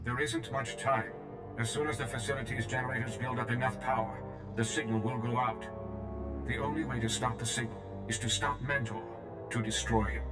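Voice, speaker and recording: An echoey, lowish voice for a renegade medical droid , acted by a man in a video game. The delivery is formal, blunt and very even, with clear music underneath. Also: close to an everyday voice. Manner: formal, plain-spoken, measured. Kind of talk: video game cutscene acting.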